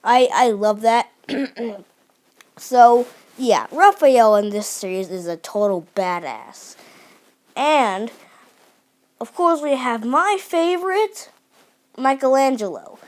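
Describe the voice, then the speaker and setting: A woman's voice: fairly high pitch, lively intonation, takes it slowly, pauses often. Enthusiastic young woman reviewing a cartoon. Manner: enthusiastic, many restarts and fillers. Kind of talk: unscripted video review.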